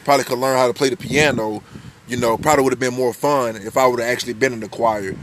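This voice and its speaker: A young man in his twenties from the United States talks about himself on his own podcast in a deep voice. He is candid and loose, swears freely and often restarts a sentence. Faint noise sits behind him. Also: steady tone, fast, fairly bright tone, poor audio. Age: 20s. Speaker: podcast host. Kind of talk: casual personal monologue.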